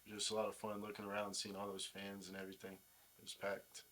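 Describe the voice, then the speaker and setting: Low, flat-toned young male athlete. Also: quick pace, a little hiss or hum. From United States. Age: young adult. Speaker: baseball player, pitcher, interview guest. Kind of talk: casual interview chat.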